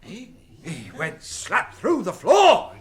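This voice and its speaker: An actor playing an excitable, tipsy rustic tavern regular in a radio drama, boisterous and rambling, with a rural English accent. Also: male voice, high-pitched, takes it slowly, exaggerated voice. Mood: indignant, amazed. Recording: tavern crowd faintly behind.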